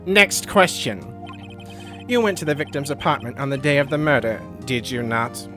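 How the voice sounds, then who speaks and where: A man plays a smug prosecutor in a courtroom game with a bright, cutting voice. He is formal, condescending and accusatory, over a bed of soft music. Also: mid-range voice, moderate pace, pitched below the performer's own voice, close to an everyday voice. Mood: pressing. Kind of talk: video game role-play.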